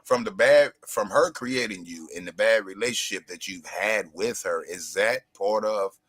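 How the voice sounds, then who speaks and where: Low-voiced man with a bright, forceful edge. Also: normal speed, slightly rough, no room sound. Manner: blunt, animated, slangy. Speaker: panelist. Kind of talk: casual live-stream discussion.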